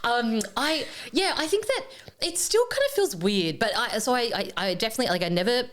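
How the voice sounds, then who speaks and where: A woman's voice: on the high side, animated, brisk. A woman, a podcast guest chatting casually, hesitant with frequent restarts and laughter. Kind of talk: casual chat.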